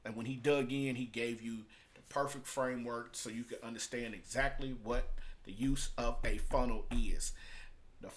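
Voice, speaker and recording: A man's voice: mid-range voice, fairly bright tone. A man talking casually and off the cuff as he reviews and explains, in an emphatic, repetitive, colloquial way. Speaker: vlogger reviewing a business book.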